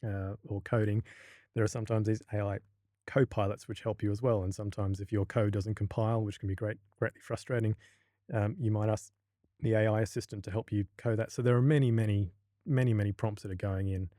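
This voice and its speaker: An Australian man with a low, mellow voice talks through a casual podcast interview. He stumbles and restarts often while he gathers his thoughts. The recording is dry and close. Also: fairly even intonation, brisk, no room sound. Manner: hesitant, many restarts and fillers. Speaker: university lecturer, podcast guest.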